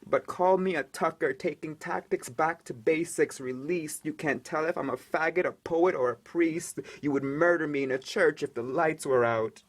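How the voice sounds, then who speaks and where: A young man performing spoken word poetry, dramatic and rhythmic, swinging between hushed lines and loud, emphatic ones. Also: on the high side, moderate pace, few pauses.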